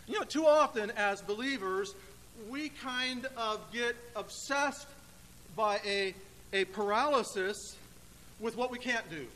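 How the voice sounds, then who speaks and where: High-pitched man preaching in an echoey hall. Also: pushed and bright. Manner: emphatic, deliberate phrasing with dramatic pauses. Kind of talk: sermon. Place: church sanctuary, indoors. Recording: echoey church hall with faint noise.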